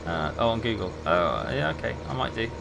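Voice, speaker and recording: A man's voice: on the low side, fairly quick, gravelly, background noise. A man who grew up in England chatting casually with viewers while he streams a game. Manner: relaxed, chatty, answers chat while playing.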